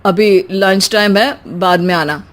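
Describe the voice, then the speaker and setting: A woman's voice: medium pitch, unhurried, close to an everyday voice. A woman voicing a flippant, lazy bank clerk in a comic story, with a dismissive manner. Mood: dismissive, joking. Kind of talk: acted Hindi comic story dialogue.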